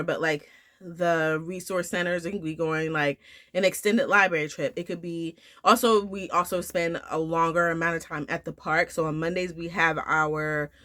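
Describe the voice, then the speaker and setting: Bright-voiced woman, nasal from the flu. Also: low voice. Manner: chatty, rambling with many fillers and restarts. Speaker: homeschool vlogger. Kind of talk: casual talk to camera.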